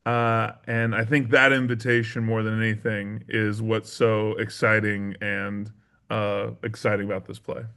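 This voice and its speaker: A man's voice: on the low side, little pitch movement, measured pace, creaky, sharp tone, smooth. A man being interviewed as a theatre maker, speaking in an earnest, reflective way and feeling out his phrasing.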